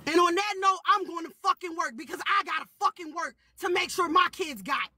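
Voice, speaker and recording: A young woman ranting on a livestream, shouting often and swearing heavily in repeated lines. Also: very high voice, a little fast, slight background noise. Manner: shouting, profane, repetitive. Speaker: livestream guest. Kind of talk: heated livestream rant.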